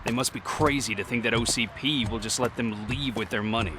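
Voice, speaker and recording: A man's voice: medium pitch, brisk, noisy background, close to an everyday voice. A man playing a curt, commanding and territorial SWAT police officer in a video game. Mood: dismissive, briefing.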